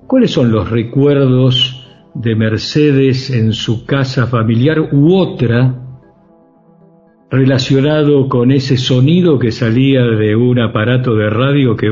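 Slow-paced Argentine man hosting radio. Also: mid-range voice, warm tone, lo-fi sound. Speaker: radio interviewer, host. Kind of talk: reflective radio interview.

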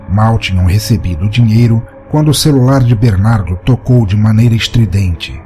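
A man's voice: fairly low pitch, warm tone. A Brazilian man working as a podcast host and narrator, reading scripted stories and listener comments. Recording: music bed under the voice. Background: background music.

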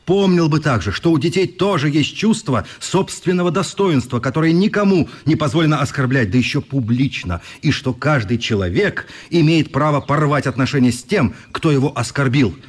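A man's voice: fairly high pitch, animated, normal speed, few pauses, husky. An actor playing a politely defiant, ironic male newspaper correspondent in a radio play, speaking in courteous, articulate phrases. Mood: principled, ironic. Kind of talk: radio drama acting.

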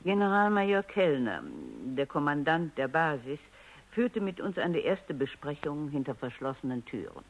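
A woman's voice: deep voice, expressive, moderate pace. An actress playing a dryly ironic elderly woman scientist, speaking precisely in a radio drama. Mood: wry reminiscing. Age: older. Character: sharp, dryly ironic elderly woman robot psychologist, reminiscing. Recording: dull vintage radio recording.

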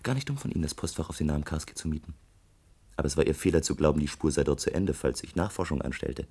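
An actor playing a bitter, gifted young doctoral student in a German radio play, sarcastic and accusing, with deliberate delivery. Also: male voice, very deep voice, darkish tone, husky, exaggerated voice. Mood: coldly triumphant.

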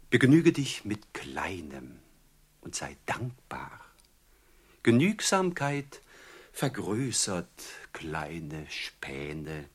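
An actor playing a falsely courteous, menacing robber chief who quotes poetry in an unctuous, theatrically drawn-out way, in a classic German radio drama. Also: male voice, deep voice, husky, heavily acted. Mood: solemn reciting. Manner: unctuous, mock-polite, theatrically drawn-out phrasing.

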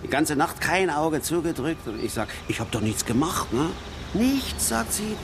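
A man's voice: a little high, sing-song, moderate pace, background noise. An actor playing a talkative, boastful working-class father down on his luck, telling rambling colloquial stories in a radio play. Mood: troubled. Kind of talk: naturalistic German radio play acting.

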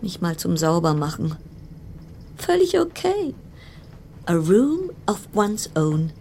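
An actress playing a brittle, self-reassuring woman isolated in a ship's cabin, musing haltingly and repetitively in in a radio play, at times dropping into whispers. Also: on the low side, very animated, a little mellow, slight background noise, full character voice. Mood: defensive, uneasy. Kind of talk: German radio play monologue.

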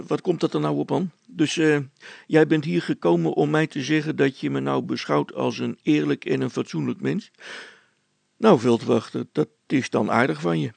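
A man's voice: medium pitch, gravelly, a little mellow, slightly rough, no room sound. A man voicing a wily, grumpy workman in a storybook, blunt and prone to stammered excuses. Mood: evasive. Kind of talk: storybook character acting.

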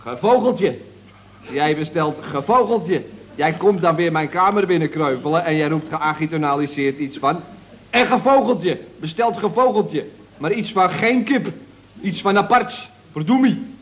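Pompous talker on dull old radio. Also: male voice, fairly high pitch, animated, a little slow, slight background noise. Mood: lecturing. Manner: pompous, wordy, over-elaborate diction with malapropisms. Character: pompous, mocking colleague who mangles long words. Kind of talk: radio comedy acting.

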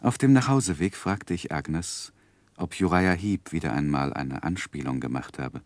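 An actor playing an earnest, tender gentleman who narrates his life in a gentle, reflective, expressive way in a 1960s German radio play. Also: rumbling low, normal speed, no echo. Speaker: first-person narrator and lead.

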